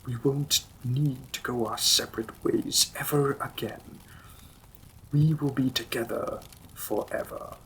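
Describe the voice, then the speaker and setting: A man playing a possessive demon king and devoted lover in a romantic fantasy audio roleplay, often dropping his breathy voice to a whisper. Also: medium pitch, fairly even intonation, slow, a bit bright, slight background noise, poor audio. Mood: tender, concerned.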